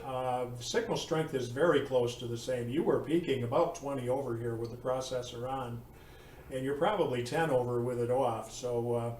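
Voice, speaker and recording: A roomy, slightly distant recording with faint noise carries a man's mid-pitched voice. He chats casually as a ham radio operator, in a halting, unhurried way with formal sign-offs. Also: steady tone, darkish tone.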